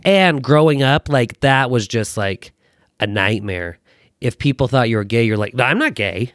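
An American man in his thirties chatting casually as a podcast guest, telling personal stories in an animated, self-deprecating way. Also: medium pitch, moderate pace, no room sound, clean studio sound. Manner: animated, self-deprecating joking with acted-out quotes. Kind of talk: casual chat, personal storytelling.

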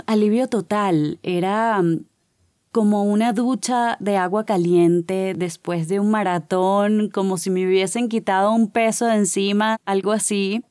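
A woman's voice: mid-range voice, dry recording, studio quality. A woman from Venezuela with a Caracas accent, hosting a motivational podcast and telling her own story in an upbeat way. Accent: Caracas Venezuelan Spanish. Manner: upbeat and warm, personal storytelling. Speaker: podcast host. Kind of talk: scripted motivational podcast narration.

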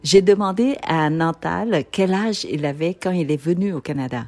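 A woman with a fairly low voice hosts an interview. She narrates the scene-setting links and puts encouraging, friendly questions to her guest. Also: normal speed, a little mellow, faint music behind. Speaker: host.

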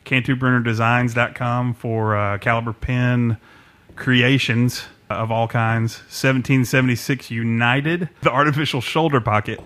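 A man's voice: mid-range voice, steady tone, a little slow, a bit bright. A man hosting a podcast, chatting casually, telling stories with plenty of laughs and jokes. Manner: laughs easily, jokey storytelling. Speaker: podcast host. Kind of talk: casual chat.

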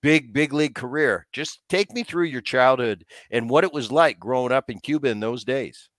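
A man's voice: mid-range voice, moderate pace, fairly bright tone, no echo. A man in his fifties hosting a podcast, asking long rambling questions with restarts. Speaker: podcast host, former baseball player. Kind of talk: casual interview conversation.

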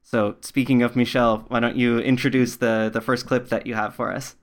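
A man's voice: medium pitch, level tone, a little fast. A man hosting a podcast interview, conversational, attentive and affirming toward his guest.

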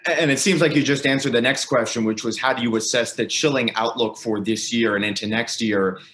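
Echoey male call moderator, mid-pitched. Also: fairly quick, a bit bright. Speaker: moderator relaying investor questions. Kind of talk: conversational conference call interviewing. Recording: echoey, roomy conference call audio.

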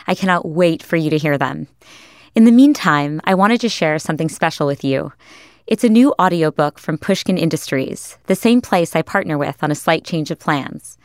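A woman's voice: deep voice, clean tone, dry recording, clean studio sound. A woman podcast host reading a scripted introduction.

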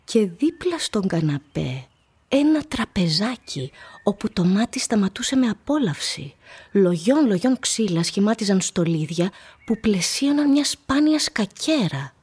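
A woman narrating a literary radio play in a measured, deliberate manner. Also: medium pitch, sing-song, a little mellow, breathy edge.

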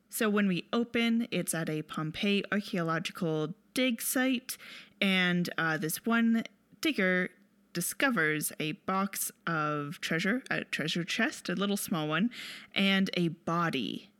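A woman's voice: on the low side, lively intonation, a little slow, a bit bright, dry recording. A woman co-hosting a film podcast in a casual, conversational style, recorded close and dry. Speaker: podcast co-host.

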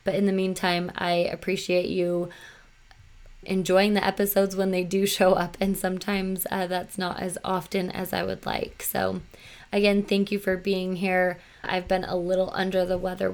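A woman in her thirties hosting her podcast, slightly hoarse after losing her voice, talking in a chatty, earnest and self-reflective way. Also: on the low side. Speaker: podcast host. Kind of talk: solo podcast talk.

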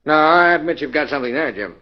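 An actor playing a gruff, skeptical police inspector in a 1940s radio drama, with a brusque, doubting manner. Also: male voice, on the high side, lively intonation, quick pace, close to an everyday voice. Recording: dull, lo-fi 1940s radio recording.

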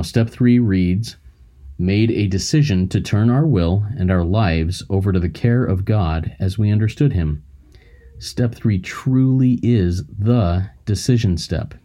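A man's voice: deep voice, mellow. A man hosting a podcast, reading an earnest scripted intro and then interviewing a guest with encouragement.

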